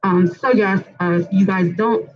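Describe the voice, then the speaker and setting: A woman with a raspy, hoarse voice moderates a casual panel at a community event. She talks in an upbeat, chatty way and often pauses on fillers. Her voice sits at a middle pitch, and the recording sounds dull and lo-fi. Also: fairly even intonation. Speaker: panel host and fitness trainer.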